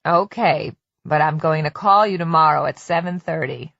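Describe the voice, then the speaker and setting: A woman voicing a steady, concerned middle-aged husband with a calm, gently teasing manner in an audiobook. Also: low voice, normal speed, vocal fry, no room sound, muffled recording, voice pushed down, exaggerated voice.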